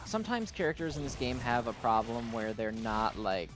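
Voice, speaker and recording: A man's voice: mid-range voice, big pitch swings, normal speed, music in the background. A man hosting a gaming video with casual, gushing, enthusiastic commentary, often slipping "like" into his sentences.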